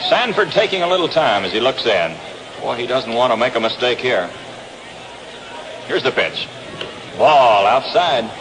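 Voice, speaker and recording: A man's voice: medium pitch, big pitch swings, a little slow, pauses often. An American man calling baseball play-by-play in the style of a 1960s radio sports broadcast. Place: outdoor ballpark broadcast. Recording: noisy vintage 1962 radio broadcast.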